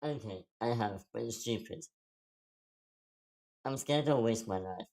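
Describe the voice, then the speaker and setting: A man's voice: medium pitch, a little slow, gravelly, darkish tone. A man playing a self-deprecating, anxious young man in a casual screenplay table read. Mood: awkward, guarded.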